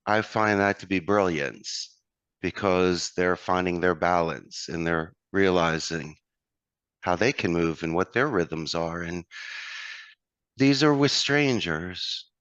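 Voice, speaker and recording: Deep voiced philly guy, motivational interview talk, dry dull audio. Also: slow pace, fairly bright tone, clean tone, dry recording. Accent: Philadelphia. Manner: expansive, motivational, addresses host by name. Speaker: call center owner, guest. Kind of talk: conversational interview.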